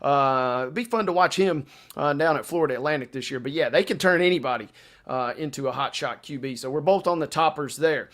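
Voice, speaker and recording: A man's voice: a little high, brisk, a bit bright. A man co-hosting a sports show, chatting through betting picks with colloquial, playful turns of phrase.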